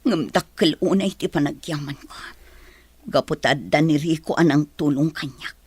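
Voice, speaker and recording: An actress playing a sorrowful, reproachful but forgiving woman in a radio drama, with a husky, breathy voice. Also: low voice, normal speed, darkish tone, dry recording. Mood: hurt, reproachful.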